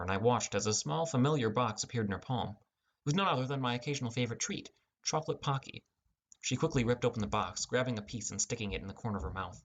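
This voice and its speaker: A young man reading a first-person fantasy audiobook as a scripted narration. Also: medium pitch.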